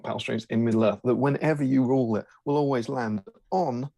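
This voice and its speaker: A man's voice: mid-range voice, fairly quick, creaky, mellow, slight background noise. A man hosting a casual live stream, joking and rambling with lots of fillers. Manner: jokey, rambling, full of fillers. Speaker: host.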